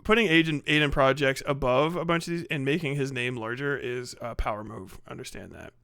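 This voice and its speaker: A man's voice: mid-range voice, brisk, creaky, a bit bright, no echo, clean studio sound. Profane man muttering on a livestream. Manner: profane, muttering to himself while working. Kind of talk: casual stream commentary.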